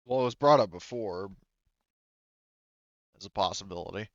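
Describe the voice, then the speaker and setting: A man narrating a tabletop roleplaying game as game master, in a halting, rambling way, thinking aloud as he goes. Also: fairly low pitch, dry recording, dull audio.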